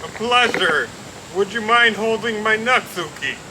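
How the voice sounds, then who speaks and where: Man as deadpan well-mannered henchman, high-pitched, radio crime comedy, steady background noise. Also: measured pace. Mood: eager, polite. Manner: formal, deadpan politeness. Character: touchy, well-mannered male thug sidekick called Salvador. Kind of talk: old-time radio detective play acting. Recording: noisy live recording, steady room noise.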